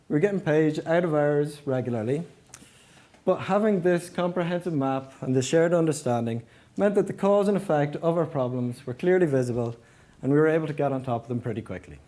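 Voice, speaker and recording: Mellow, higher-pitched man giving a talk. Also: animated, brisk. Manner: explanatory, poses rhetorical questions. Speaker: engineering manager giving a talk. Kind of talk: prepared conference-style presentation.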